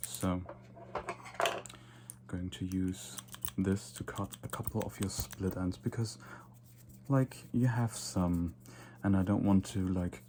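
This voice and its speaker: A man playing a vain, condescending yet flirtatious vampire in a soft-spoken, nonchalant ASMR roleplay. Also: deep voice, background noise. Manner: soft-spoken, unhurried, repeats words in whispers.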